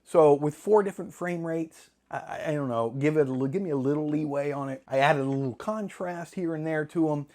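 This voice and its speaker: A middle-aged man with a slightly hoarse voice, chatting casually to camera in a rambling, self-deprecating way with jokey asides. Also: fairly high pitch, a little fast, few pauses, darkish tone. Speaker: film hobbyist channel host.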